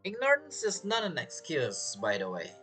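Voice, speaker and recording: Lively male game streamer. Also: sits high, moderate pace. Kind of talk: casual game commentary. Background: game music.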